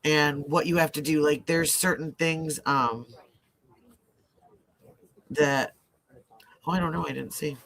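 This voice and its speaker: A man's voice: fairly high pitch, steady tone, slow pace, creaky, pauses often, fairly bright tone. A man with a mixed Midwestern accent, partly Ohio and partly Southern, chatting loosely on a live true-crime stream with lots of fillers and restarts. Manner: rambling, lots of fillers and self-restarts, easy laughs. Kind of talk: casual live-stream chat.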